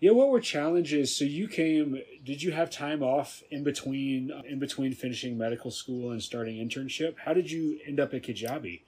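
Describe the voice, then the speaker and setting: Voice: medium pitch, moderate pace, few pauses, darkish tone, husky. An interviewer asking casual questions and giving short encouraging replies.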